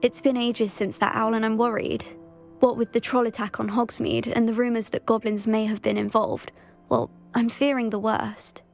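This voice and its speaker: A woman's voice: fairly high pitch, little pitch movement, normal speed, vocal fry, faint music behind. An actress playing an anxious, earnest teenage schoolgirl in a video game, well-spoken. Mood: worried. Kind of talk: video game cutscene acting.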